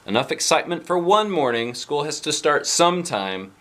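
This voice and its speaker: A man reading aloud, voicing a young woman teacher who is brisk, reassuring and lightly teasing. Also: fairly high pitch, very animated, fairly bright tone, pitched above the performer's own voice.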